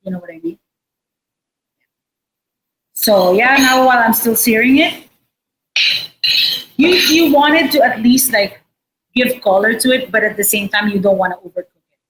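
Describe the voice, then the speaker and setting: A woman chef with a Filipino accent giving a casual cooking demonstration in an informal, halting, explanatory way. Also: fairly high pitch, poor audio. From Philippines. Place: indoor restaurant space behind a counter. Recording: noticeable background noise and room ambience.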